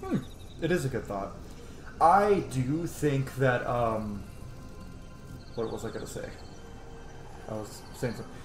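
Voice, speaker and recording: A man's voice: medium pitch, lively intonation, unhurried, pauses often, soft background music. Male game streamer, mid-range voice: casual, rambling, opinionated commentary, recorded in an echoey room. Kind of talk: casual let's-play commentary. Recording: echoey room with noise behind.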